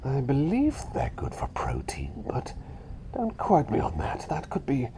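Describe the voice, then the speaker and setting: A man voicing a fussy, chatty little bird who is really a doctor, rambling and repeating himself politely in a hushed, breathy ASMR roleplay. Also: medium pitch, wildly swooping pitch, measured pace. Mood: chatty, earnest. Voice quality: breathy, half-whispered.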